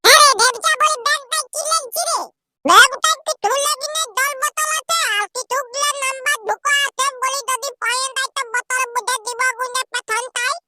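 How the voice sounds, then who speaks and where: A rowdy young village lad from a comedy cartoon, speaking in a Purulia accent. The voice is artificially pitched up to an extremely high, childlike squeak. He banters in brash, grumbling slang while music plays clearly behind him. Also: a little fast. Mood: brash, bantering. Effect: pitched-up voice filter. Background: loud music.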